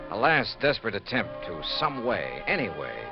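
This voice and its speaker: Tough male detective, clipped, deliberate, expressive pitch, golden-age radio drama, dull old-time sound. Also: over music. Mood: weary, reflective. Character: hard-boiled male insurance investigator, wry, reflective narrator. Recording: muffled old radio recording.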